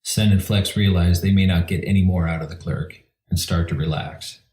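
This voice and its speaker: Very deep male narrator in a roomy space. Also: steady tone, moderate pace, a little mellow. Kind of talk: scripted narration reading scene directions. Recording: roomy echo.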